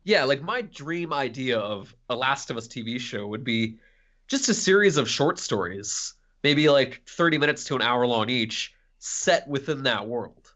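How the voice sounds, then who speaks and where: A man's voice: medium pitch, lively intonation, a little slow, a bit bright. A man co-hosting a podcast, giving his opinions in casual conversation. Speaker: podcast co-host.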